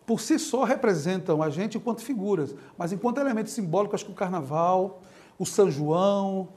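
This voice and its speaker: High-voiced Pernambuco man explaining. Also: a little fast. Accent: Pernambuco Portuguese. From Pernambuco, Brazil. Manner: animated, laughs while making points. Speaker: historian, interview guest. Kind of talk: explanatory talk-show interview.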